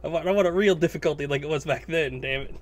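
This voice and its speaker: A man's voice: a little high, fast talker. A man chatting casually and enthusiastically about video games as a podcast co-host, rambling and swearing freely.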